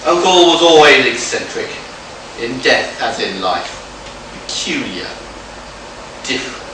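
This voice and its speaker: A man's voice: mid-range voice, sing-song, unhurried. A man playing a drunken, dissolute young heir in an amateur live radio play, smirking and contemptuous. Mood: smirking indifference. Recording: noisy echoey hall from far off.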